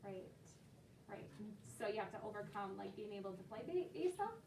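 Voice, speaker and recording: A woman's voice: medium pitch, sing-song, a little fast, creaky, a little mellow. An American woman teaching a class of children, encouraging them and prompting with questions. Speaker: elementary school teacher. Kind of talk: leading a class discussion of a read-aloud. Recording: roomy, noisy classroom, distant mic.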